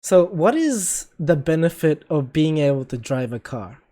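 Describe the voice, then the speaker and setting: A young man with an Australian accent hosting a casual chat, asking calm, probing questions and laughing often. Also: a little high, a little slow. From Philippines and Japan heritage, living in Australia. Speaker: host.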